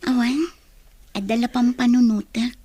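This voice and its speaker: A woman's voice: fairly high pitch, level tone, moderate pace, mellow, slightly rough, dry recording. An actress playing a wistful, hesitant young female student in a radio drama. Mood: hesitant, troubled. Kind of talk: Ilocano radio drama acting.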